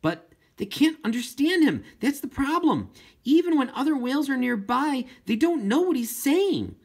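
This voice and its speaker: A man's voice: very high voice, very animated, moderate pace, breathy edge, pitched above the performer's own voice, heavily acted. A man voicing an earnest deaf girl in an audiobook, pleading and stubborn, with emphatic, impassioned protests.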